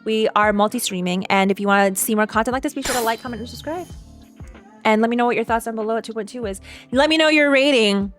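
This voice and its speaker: A woman's voice: on the high side, fast talker, soft background music. A woman streamer giving casual reaction commentary, thinking aloud and repeating herself in a chatty way. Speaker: streamer reacting to a game story.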